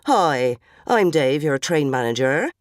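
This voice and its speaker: A woman's voice: low-pitched, very animated, takes it slowly, dry recording, studio quality, voice pushed down, exaggerated voice. A woman voicing a chirpy, bland male train manager in a story, over-familiar, with scripted cheeriness. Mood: bland cheer.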